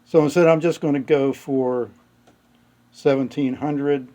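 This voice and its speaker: A man's voice: medium pitch, steady tone, a little slow, mellow. A man giving an unscripted hands-on technical walkthrough, thinking aloud in a matter-of-fact way. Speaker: presenter of a radio repair demonstration.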